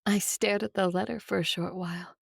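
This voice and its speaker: A woman's voice: mid-range voice, fairly even intonation, brisk, vocal fry, husky, no echo, clean studio sound. A woman acting an idealistic, stubborn vampire ruler in an audio drama, earnest and impassioned. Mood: reflective.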